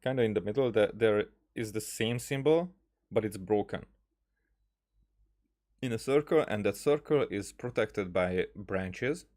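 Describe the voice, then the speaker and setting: Low-voiced man rambling through game lore. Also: darkish tone. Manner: halting, rambling, thinking aloud. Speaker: gaming streamer. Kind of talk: casual game lore commentary.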